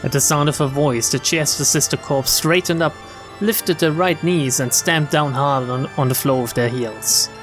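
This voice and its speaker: A man's voice: fairly high pitch, normal speed, over music. A man streaming a game, reading story text aloud and adding casual comments. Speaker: streamer and narrator.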